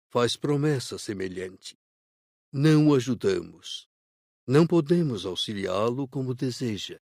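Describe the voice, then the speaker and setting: Audiobook role: man acting a patient spiritual counselor, deepish, solemn and explanatory, close dry sound. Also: a little mellow, no echo. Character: gentle, patient male spirit counselor, head of department. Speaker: audiobook character actor. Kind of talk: scripted audiobook dialogue.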